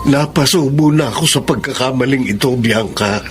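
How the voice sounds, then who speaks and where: A man's voice: mid-range voice, normal speed, faint music behind, lightly acted. A man playing a remorseful husband in a Filipino radio drama, speaking earnestly and emotionally. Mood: remorseful, distressed. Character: remorseful husband and newspaper artist confessing his mistakes.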